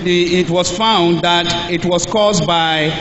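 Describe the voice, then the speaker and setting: A man's voice: high-pitched, fairly even intonation, a bit bright. Man with Ghanaian English in an echoey hall. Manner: speaks in short phrases, pausing for translation. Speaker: speaker at a church health talk. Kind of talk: public talk through an interpreter. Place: church hall. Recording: echoey hall, dull lo-fi sound, faint hiss.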